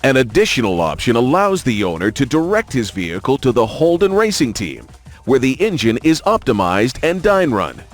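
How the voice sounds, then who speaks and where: A man narrating a scripted promotional car showcase. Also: mid-range voice.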